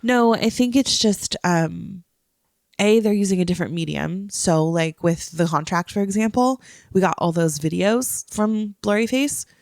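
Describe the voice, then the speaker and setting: A woman's voice: medium pitch, measured pace, vocal fry, darkish tone, no echo, clean studio sound. A woman co-hosting a casual podcast, congested from a cold and explaining things patiently with frequent laughs. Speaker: podcast co-host. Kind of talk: casual chat.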